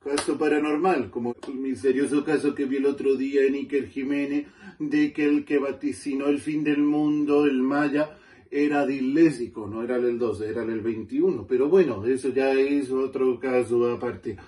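A man playing a cheeky immigrant man who speaks in broken grammar and tells deadpan one-liners. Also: mid-range voice, moderate pace, far from the mic, natural voice. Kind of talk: stand-up character comedy.

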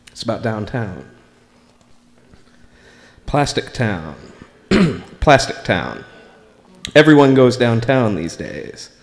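Slow-paced American man reading in reverberant room. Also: mid-range voice. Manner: deliberate, measured, pausing between short phrases. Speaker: spoken word performer reading own writing. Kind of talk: live open mic poetry and story reading. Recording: live recording in a reverberant room.

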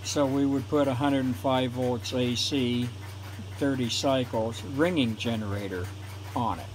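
A man, a former telephone installer, calmly explaining equipment on his workbench in a home workshop. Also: mid-range voice, a little hiss or hum, poor audio. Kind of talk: technical explanation voice-over for a hobby video.

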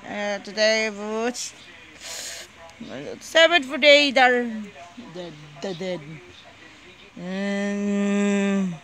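A person presenting a casual home unboxing video in a halting, disjointed way, often repeating words. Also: medium pitch, steady tone, bright, forceful tone. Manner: halting, disjointed, repetitive delivery. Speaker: amateur video maker showing gadgets.

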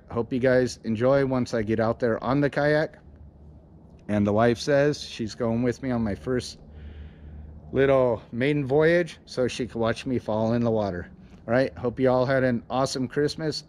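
A man's voice: mid-range voice, steady tone, normal speed, a little mellow. A man in his forties from Illinois, chatting upbeat and casual to a handheld camera outdoors, eagerly asking viewers for advice. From Illinois, USA. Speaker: fishing channel host. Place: outdoors in front of a house garage. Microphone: camera at arm's length.

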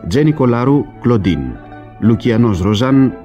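A man's voice: medium pitch, animated, mellow. A man formally reading cast and credits as a scripted radio announcement. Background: violin music.

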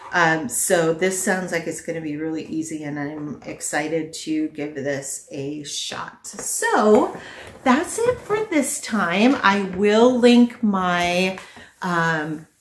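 A middle-aged woman chatting casually to the camera in her living room, thinking aloud as she reviews products. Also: fairly low pitch. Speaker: vlogger presenting a product haul. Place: small living room at home, indoors. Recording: echoey home living room.

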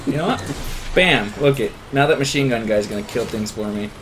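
Excitable man bantering over a video game. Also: medium pitch, brisk, noisy background. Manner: excitable, swearing, jokey. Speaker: video game player and host. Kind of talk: casual gameplay banter.

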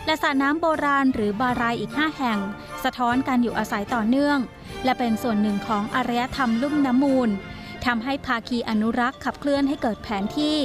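A woman reading scripted documentary narration in a measured, even way. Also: high-pitched. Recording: voice-over with loud music bed.

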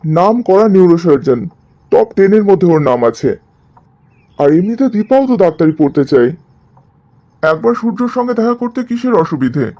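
A man's voice: high-pitched, very animated, fairly quick, pauses often, muffled recording. A man playing an easygoing, affectionate young husband in a cartoon drama, coaxing and explaining. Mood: persuasive. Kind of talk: animated cartoon drama dialogue.

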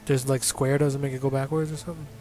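A man's voice: mid-range voice, quick pace. A man in his thirties talks in an easy, fatherly way while playing a video game with his daughter. He speaks through a gaming headset in a small room at home, with faint game music running underneath. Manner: relaxed, fatherly, fiddling with audio settings. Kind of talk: casual family gameplay chat.

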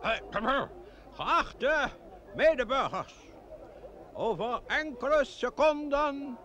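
A man's voice: very high voice, fairly bright tone, lo-fi sound, exaggerated voice. A pompous village mayor in an old radio play. He is stubborn and hesitant, over a faintly noisy recording.

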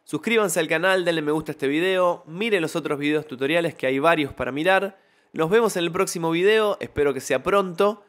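A young man hosts a music production tutorial. His voice sits a little high. He explains step by step, speaking close to a microphone in a small home studio. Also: fairly quick, a bit bright. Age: young adult. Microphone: close studio microphone on a stand, with headphones.

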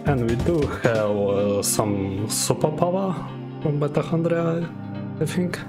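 A young man with a Russian accent, speaking English as a second language. He streams a game and thinks aloud, with many pauses, hums and filler sounds. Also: fairly high pitch, fairly even intonation. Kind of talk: casual gameplay commentary. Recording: game music playing under the voice.

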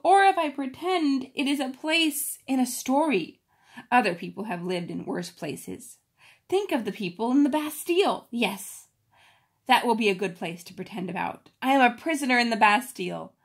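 A brave young servant girl from a storybook, played by a woman reading aloud. She sounds earnest, imaginative and resolute, in a raised pitch. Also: expressive, normal speed. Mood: earnest pretending.

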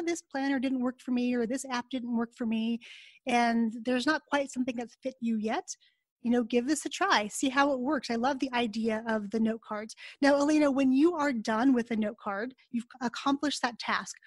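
A woman's voice: on the high side, no echo. A woman hosting a podcast conversationally, encouraging and speaking straight to listeners. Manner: encouraging, addresses listeners directly. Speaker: podcast host.

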